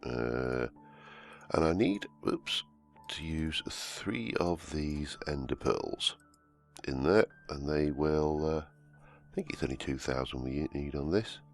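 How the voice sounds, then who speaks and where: A man, croaky from a cold, hosting casual gameplay commentary and rambling as he plays. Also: rumbling low, lively intonation, takes it slowly. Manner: rambling, self-correcting, humming to himself. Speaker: let's-play host. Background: soft background music.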